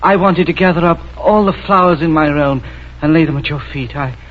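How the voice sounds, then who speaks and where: An actor playing an earnest, lovestruck prince with ardent, halting delivery in a 1940s radio drama. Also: male voice, a little high, lightly acted. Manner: ardent, halting, breathy declarations. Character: lovestruck, earnest prince wooing an opera singer. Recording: dull vintage radio recording with music.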